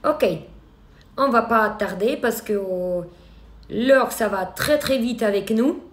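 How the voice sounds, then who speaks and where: A woman with a Ukrainian accent and a fairly high voice teaches a live online workshop as an eyelash extension trainer. She speaks in a hesitant way, filling pauses with filler sounds and restarting her sentences. The voice rings in an echoey room.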